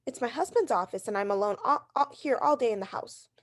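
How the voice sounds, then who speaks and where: A woman's voice: a little high, lively intonation, brisk, dry recording, clean studio sound. A woman playing a neurotic, fretful invalid housewife in a 1940s radio drama, anxious and insistent.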